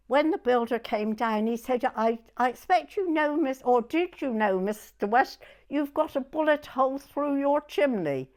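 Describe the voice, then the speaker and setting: A woman in her nineties with a southern English accent, reminiscing hesitantly in an interview, often repeating herself and trailing off. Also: fairly high pitch. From Weymouth, Dorset, England. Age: 90s. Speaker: oral history interviewee.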